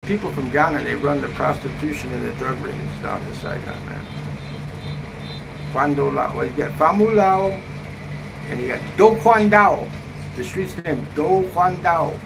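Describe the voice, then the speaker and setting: Gravelly man rambling casually, noisy background. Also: a little high, normal speed. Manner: blunt, profane, slangy, often ending phrases with "man". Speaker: travel vlogger giving a live update. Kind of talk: rambling casual live-stream chat. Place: hotel room, indoors. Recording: noisy room with steady background hum.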